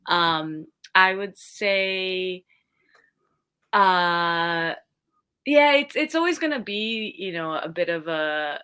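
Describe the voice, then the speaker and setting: A young woman teacher speaks as a podcast guest, her voice bright and a little low. She thinks aloud as she goes, circling back and restarting phrases. Her tone stays casual and conversational throughout. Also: takes it slowly, clear, resonant tone. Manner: thoughtful, restarts phrases, many fillers.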